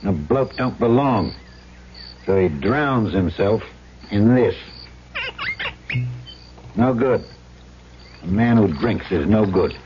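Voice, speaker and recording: An actor playing a world-weary, gruff but soft-hearted colonial trader in a 1970s radio drama. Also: male voice, on the low side, expressive, slow, long pauses, a little hiss or hum. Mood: troubled, bitter. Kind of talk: 1970s radio drama acting with first-person narration. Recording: dull vintage radio with music.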